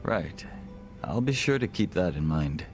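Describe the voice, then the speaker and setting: An actor playing a composed, formal gangster second-in-command in a video game cutscene, measured and reserved. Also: male voice, low voice, very animated, soft background music, heavily acted. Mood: dryly composed.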